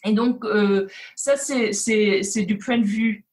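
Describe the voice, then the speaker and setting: A woman's voice: mid-range voice, fairly even intonation, takes it slowly, darkish tone. A woman being interviewed, making a spontaneous, explanatory argument as an academic guest.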